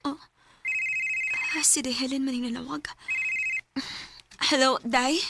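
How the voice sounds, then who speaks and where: High-voiced, anguished Bisaya wife. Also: female voice, fairly even intonation, a little slow, creaky, very noisy. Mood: anxious, brooding. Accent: Cebuano Bisaya. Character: long-suffering, anguished wife and mother, pleading and tearful. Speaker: radio drama actress. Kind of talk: Visayan radio drama acting with dramatic narration.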